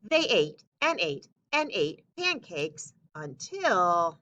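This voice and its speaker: A woman narrates a children's tall tale in a bright, forceful voice. She is expressive and emphatic, with animated pitch and an unhurried pace. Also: dull audio. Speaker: story reader. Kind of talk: children's storybook read-aloud narration.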